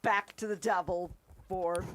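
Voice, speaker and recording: A woman's voice: on the low side, steady tone, unhurried, background noise. A woman taking part in a casual review discussion as a co-reviewer. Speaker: co-reviewer on a talk show.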